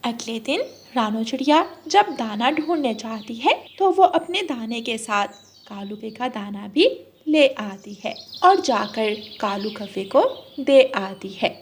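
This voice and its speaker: Female storyteller for children's fables, higher register, scripted delivery, faint background noise. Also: lively intonation, a little slow. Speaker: narrator.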